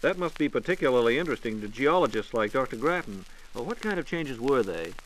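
A man's voice: medium pitch, very animated, fast. An American man hosting a scripted 1940s radio science programme and putting questions to a reporter. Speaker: radio host. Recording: faintly noisy 1940s radio transcription disc.

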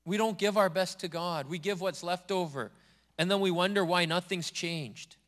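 Pastor, male, higher register, earnest repetitive preaching in a church hall with a long echo. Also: normal speed, a bit bright. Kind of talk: sermon. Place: church sanctuary.

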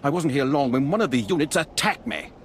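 Man playing a disgruntled veteran officer, animated. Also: a little high, fairly quick, slight background noise, lightly acted. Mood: urgent, indignant. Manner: stern, grumbling, earnest. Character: indignant veteran male Imperial officer, older, disgruntled, grave. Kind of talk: video game cutscene acting.

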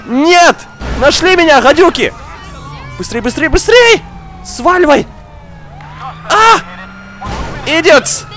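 High-pitched, animated young man gaming, slightly sick. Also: slow, pauses often, some chatter behind. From outside Russia. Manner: animated, exclaiming, repeats words, talks to viewer. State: slightly ill, coughing now and then. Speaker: gaming streamer. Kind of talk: excitable casual gameplay commentary. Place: indoor room, seated at racing wheel.

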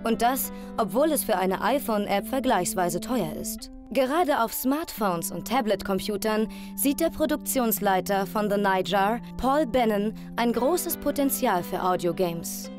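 Eine Frau liest als Reporterin den vorbereiteten Text eines Magazinbeitrags. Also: female voice, medium pitch, animated, unhurried, few pauses. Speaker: reporter voice-over. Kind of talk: scripted magazine report narration. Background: loud music.

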